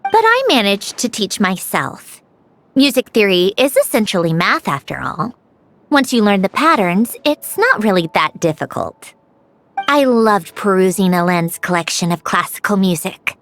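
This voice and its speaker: Self-assured, animated young genius mechanic. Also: female voice, medium pitch, normal speed. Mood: wistful, composed. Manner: self-assured, precise, nostalgic. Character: proud young female genius mechanic, wistful and dry. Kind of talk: scripted video game dialogue.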